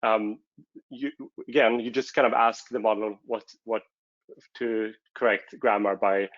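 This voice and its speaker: A man's voice: lowish voice, level tone, measured pace, a bit bright, dry recording, dull audio. A man whose first language is Swedish, presenting a technical talk at a conference and hesitating often with fillers and restarts.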